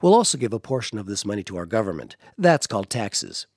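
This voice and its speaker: A man's voice: lowish voice, very animated, quick pace, no room sound, clean studio sound. An American actor playing a cheerful, devout middle-aged father in a family radio drama. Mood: cheerful, encouraging.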